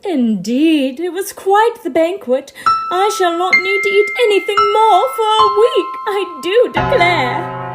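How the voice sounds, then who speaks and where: Woman playing a very high-voiced, excitable singer. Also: unhurried, loud music behind the voice. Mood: excited. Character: excitable, genteel female opera singer. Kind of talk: farcical period audio drama acting.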